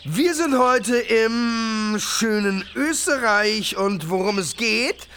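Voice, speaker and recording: Casting: swaggering startup-bro mogul for satirical podcast drama, higher-pitched, fry-laden voice, hype talk with English buzzwords. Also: male voice, takes it slowly, a bit bright, slight background noise, full character voice. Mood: hyped, boastful. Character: cocky woke male influencer mega-entrepreneur, slick, self-promoting.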